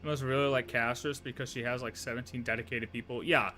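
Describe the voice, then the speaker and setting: A man livestreaming a game, talking casually and thinking out loud in slangy, sometimes profane speech. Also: mid-range voice, steady tone, fast. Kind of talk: casual game playthrough commentary.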